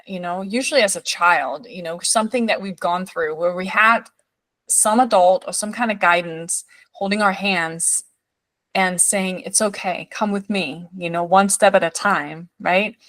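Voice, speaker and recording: A woman's voice: medium pitch, no echo. Rambling woman podcast host. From Denmark. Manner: rambling, many fillers and restarts, warmly appreciative. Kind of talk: podcast interview.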